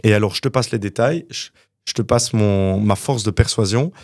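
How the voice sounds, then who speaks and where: A young man from Canada, a guest entrepreneur telling his story in a casual interview, animated and full of fillers. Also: on the low side, normal speed, dry recording, clean studio sound. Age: young adult.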